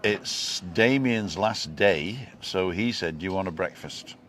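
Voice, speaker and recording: A man in his sixties with a fairly low voice talks to camera like an old friend. His jokes are dry and teasing, and he tells stories at an easy ramble. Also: expressive, unhurried, a bit bright, slight background noise. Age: 60s. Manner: joking, teasing storyteller. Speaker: vlog host. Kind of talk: casual chat.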